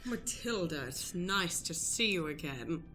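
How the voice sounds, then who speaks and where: A woman voicing a genteel, flirtatious gentleman in an improvised comedic radio play, speaking in a courtly, coy way. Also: fairly low pitch, expressive, unhurried, slight background noise. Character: genteel, flirtatious gentleman guest Mister Doobie.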